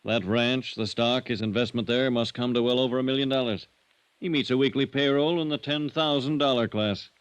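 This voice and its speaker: An actor playing a shrewd, worldly banker with a wry, folksy, unhurried manner in a 1950s radio drama. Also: male voice, medium pitch, clear, resonant tone, no room sound, natural voice. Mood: amused, expansive. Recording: dull, dry vintage radio recording.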